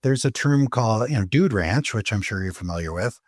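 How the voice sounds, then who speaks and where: A man's voice: lowish voice, animated, a little fast, dry recording, clean studio sound. A man hosting an interview in a casual, conversational style. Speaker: interview host.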